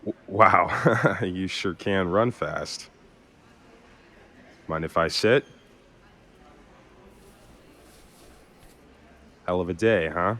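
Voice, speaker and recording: Man acting as low-pitched grieving brother, weary, consoling, halting, sincere, audio drama. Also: bright, forceful tone, a little hiss or hum, full character voice. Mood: awkward, wry. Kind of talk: naturalistic dramatic dialogue.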